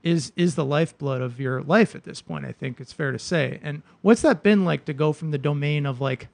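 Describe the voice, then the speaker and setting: A man's voice: fairly high pitch, brisk, vocal fry, darkish tone, no room sound. A man in his thirties hosting an advice podcast, chatty and loose, with lots of fillers. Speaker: podcast host. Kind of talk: casual conversational interviewing.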